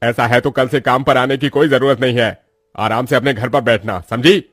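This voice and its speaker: A man's voice: medium pitch, steady tone, normal speed, fairly bright tone, dry recording, clean studio sound, close to an everyday voice. A man voicing a harsh, bullying factory boss in a cartoon story, scolding and threatening. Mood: angry, scolding. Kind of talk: Hindi cartoon moral story.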